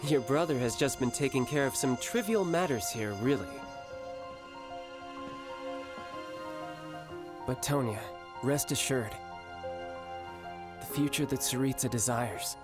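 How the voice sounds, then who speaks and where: A man's voice: mid-range voice, normal speed, lightly acted. An actor playing a brash, restless young male warrior in a game, taunting and theatrical. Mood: earnest. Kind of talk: game character voice acting. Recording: voice under loud music. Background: loud game music.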